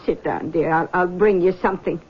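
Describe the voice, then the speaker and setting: A woman's voice: on the low side, brisk, slight background noise, close to an everyday voice. An actress playing a controlling, anxious middle-aged mother, speaking in a coaxing, fretful way in old-time radio drama style. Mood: soothing, worried. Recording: dull old radio recording.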